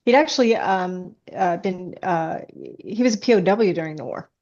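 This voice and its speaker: A woman author being interviewed, chatting casually and explaining things in a halting, hesitant way. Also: lowish voice, animated, a little mellow. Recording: dull, band-limited audio.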